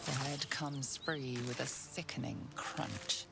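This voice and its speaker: A woman performing as the narrator of a dark fantasy game, speaking in a deliberate, hushed, ominous manner. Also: very deep voice, vocal fry, heavily acted. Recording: music behind the voice.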